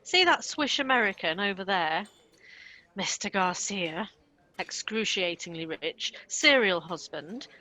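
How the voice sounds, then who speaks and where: A woman acts a chambermaid with a sharp tongue and a bossy, protective streak. She pitches it mid-range, in the style of a comic radio play. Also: expressive, measured pace, low-quality recording. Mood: wry, bossy.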